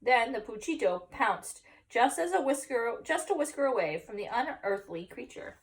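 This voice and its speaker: Female story time reader for young children, expressive range, explanatory asides, recorded a little off-mic. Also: on the high side, moderate pace, far from the mic, low-quality recording.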